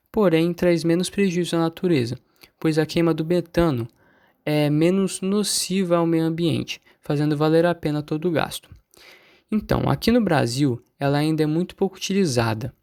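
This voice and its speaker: A man's voice: fairly high pitch, a little mellow, lightly acted. A man with a Brazilian accent playing a studious, curious student who explains things like a school presentation in an amateur radio play. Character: studious, curious male student answering teacher. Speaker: student in class.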